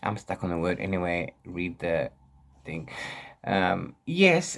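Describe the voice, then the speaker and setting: A man with a mid-pitched voice that swings widely up and down. He chats casually like a book vlogger, wandering through his thoughts, repeating words and breaking off to start again. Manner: rambling and self-interrupting, repeats words. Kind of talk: casual book chat to camera.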